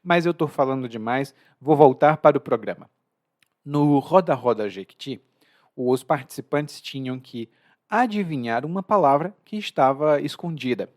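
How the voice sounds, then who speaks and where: A man with a Brazilian Portuguese accent hosts a podcast lesson. He is chatty and expressive and slows down to stress key words. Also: mid-range voice. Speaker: language teacher and podcast host.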